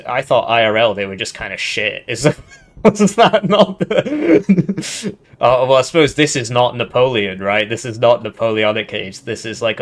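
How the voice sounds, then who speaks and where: A man's voice: lowish voice, a bit bright. A man with a nasal voice, commenting on his game live, reading in-game text aloud with casual asides. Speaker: streamer.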